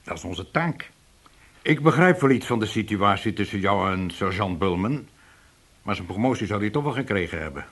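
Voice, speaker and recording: An actor playing a senior police chief in a Dutch radio play, fatherly but unbending, speaking with blunt, measured authority. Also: fairly low pitch, expressive, fairly bright tone, husky, full character voice. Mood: stern, lecturing.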